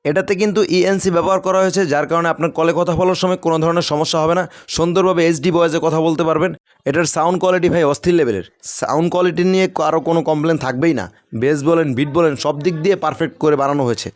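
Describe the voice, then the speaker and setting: A man's voice: a little high, steady tone, racing. A man presenting a product as a gadget seller, in emphatic, colloquial sales patter. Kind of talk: promotional product demo narration.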